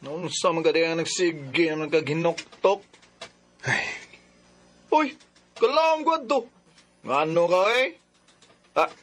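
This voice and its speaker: A man's voice: fairly high pitch, fairly bright tone, exaggerated voice. A man acting in a radio drama as a nosy, teasing companion with colloquial interjections.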